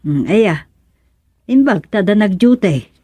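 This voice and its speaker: A woman's voice: medium pitch, big pitch swings, unhurried, warm tone, slightly rough, no room sound. A woman playing a breezy older villager in an Ilocano radio comedy serial, casual and full of interjections.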